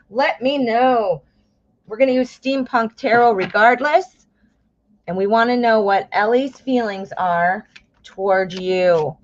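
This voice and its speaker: A woman's voice: fairly high pitch, lo-fi sound. An American woman hosting a live tarot reading stream, chatting with viewers, calling them honey and my love, and explaining the cards. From United States. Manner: chatty, warm endearments, reads viewer names aloud; exclaims and laughs at cards.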